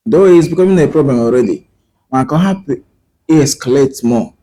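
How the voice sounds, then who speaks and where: Igbo-accented male teacher, mid-pitched, mellow tone, earnest and code-switching. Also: expressive. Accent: Nigerian, Igbo. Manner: rhetorical questions and pauses with fillers. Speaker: language teacher. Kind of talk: talking to camera about language.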